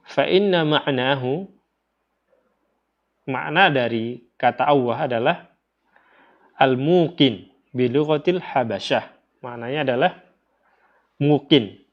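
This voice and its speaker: A man's voice: mid-range voice, takes it slowly, pauses often. A man with an Indonesian accent teaching in an informal lecture style, explaining step by step, repeating phrases and posing questions to his listeners. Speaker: religious teacher. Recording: dull, lo-fi audio.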